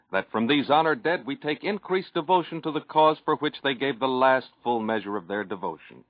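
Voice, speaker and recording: A man's voice: a little high, no echo. An American man, a 1940s radio announcer, giving a solemn, oratorical scripted reading. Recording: dull lo-fi vintage radio recording.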